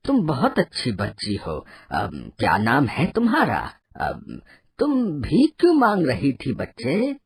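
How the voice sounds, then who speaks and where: A performer voicing a kindly, wealthy old man who advises in a hesitant, fatherly way for an animated moral story. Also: mid-range voice, slightly rough, dry recording, exaggerated voice. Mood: gentle, fatherly. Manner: hesitant, drawn-out, fatherly delivery.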